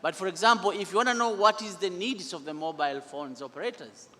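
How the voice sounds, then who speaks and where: A man on a conference panel, speaking with a slightly high voice. He explains in a measured, repetitive way. His voice rings out with long hall reverb. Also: sharp tone, slight background noise. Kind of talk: panel answer to audience question. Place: large conference hall, through PA.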